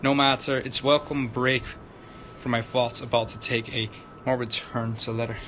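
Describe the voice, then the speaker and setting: A man narrating a visual novel aloud on a livestream, dramatic but halting, stumbling over the written words. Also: level tone. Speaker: streamer, narrator. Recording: noisy room with low rumble.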